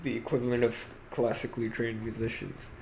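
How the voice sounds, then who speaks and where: A man's voice: mid-range voice, flat delivery, brisk, a loud crowd. A young man co-hosting a podcast, giving his opinions in a casual, conversational, musing way. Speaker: podcast co-host. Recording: clearly noisy amateur podcast audio.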